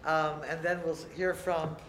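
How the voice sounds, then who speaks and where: A man moderating a university panel in a relaxed, loose way, with many fillers. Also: fairly high pitch, moderate pace. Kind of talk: casual introductions at a panel. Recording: echoey room, distant mic, faint noise.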